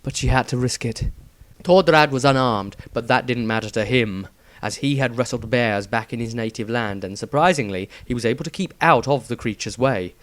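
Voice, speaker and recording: A man narrates a fantasy adventure from a script in a dramatic storytelling style. His pitch is middling for a man and the recording is dry, with little room sound. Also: normal speed, no echo.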